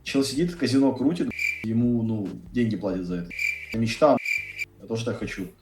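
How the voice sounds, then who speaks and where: Fast-talking blunt young man, echoey room. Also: mid-range voice, lively intonation, over music. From Saint Petersburg, Russia. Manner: quick, blunt, swears casually. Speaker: university economics student. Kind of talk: casual video chat. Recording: echoey room, far from the mic.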